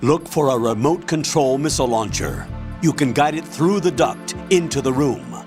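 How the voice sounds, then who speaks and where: An actor playing a steady, authoritative male military colonel in a video game, giving brisk instructions as mission control. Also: medium pitch, music in the background, close to an everyday voice. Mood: firm, instructive. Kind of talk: video game radio-briefing acting.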